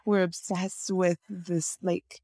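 A woman from Nigeria speaking as an interview guest, thoughtful and reflective, often laughing softly. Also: on the low side, little pitch movement, slow, no echo, clean studio sound. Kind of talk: conversational interview.

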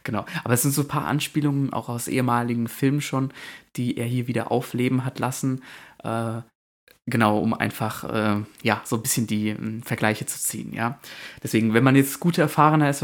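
A man's voice: medium pitch, level tone, fast talker. Hesitant German man chatting about films. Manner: hesitant, many fillers and restarts. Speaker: podcast co-host. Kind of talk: casual film podcast chat.

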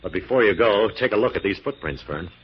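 An actor playing a confident, skeptical, protective detective in 1940s radio style, brisk and authoritative. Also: male voice, on the low side, animated, lightly acted. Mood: firm, reassuring. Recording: muffled, noisy 1940s radio recording.

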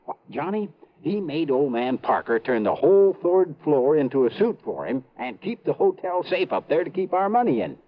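A folksy small-town police chief, trusting and eager, in an old radio play. His pitch swings up and down freely as he rambles and hesitates, and the vintage recording is muffled and narrow. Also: sing-song, moderate pace. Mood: eager, admiring. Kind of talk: 1950s radio drama acting.

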